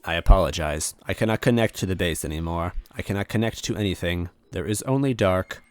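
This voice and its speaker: A man's voice: low-pitched, fairly quick, no room sound, close to an everyday voice. A man voicing a lonely, apologetic old supercomputer in a story, speaking in formal, precise wording.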